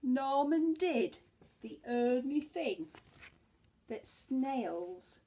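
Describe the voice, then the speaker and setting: A woman reading a storybook to young children as a teacher would, expressively, with hushed, chatty asides to her listeners. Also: sits high, animated, very slow, slightly rough.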